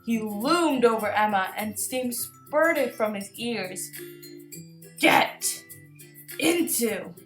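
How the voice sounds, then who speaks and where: Slow, high-voiced woman reading a storybook. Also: expressive, fairly bright tone, roomy sound. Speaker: children's storybook reader. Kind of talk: expressive read-aloud narration. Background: light music.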